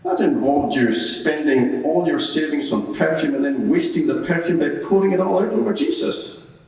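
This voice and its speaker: A man's voice: fairly high pitch, normal speed, close to an everyday voice. A man playing a probing, sceptical courtroom advocate who fires insistent, pointed leading questions in a dramatised cross-examination. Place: large reverberant courtroom. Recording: echoey hall, distant microphone.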